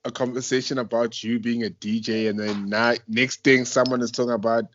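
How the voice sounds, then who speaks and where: A man's voice: mid-range voice, little pitch movement, a little fast, a bit bright, slight background noise. South African man hosting, muffled call sound. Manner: informal, slangy, effusive reactions. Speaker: radio show host. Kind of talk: casual chat interview. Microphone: internet voice call.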